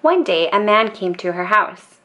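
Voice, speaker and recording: A woman storyteller narrating a children's bedtime book in a calm, expressive and deliberate way. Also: mid-range voice, a little hiss or hum.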